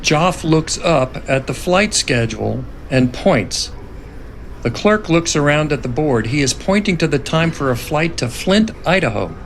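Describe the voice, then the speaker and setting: A man with a gravelly voice reading scene directions as narrator of a dramatic script reading. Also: expressive, unhurried. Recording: noticeable background rumble.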